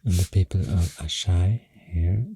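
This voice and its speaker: A man with a Swedish accent speaking softly and breathily, often close to a whisper, in a soothing ASMR ramble, close to the microphone. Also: steady tone, dry recording. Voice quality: deep, soft, breathy, often near whisper. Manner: slow, hushed, soothing. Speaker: ASMR host. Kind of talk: soft-spoken ASMR rambling.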